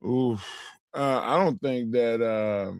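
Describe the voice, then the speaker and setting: A man co-hosting sports talk radio with a hoarse voice, speaking in halting bursts broken by fillers and restarts. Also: medium pitch, a little mellow, no room sound, clean studio sound. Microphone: studio broadcast mic.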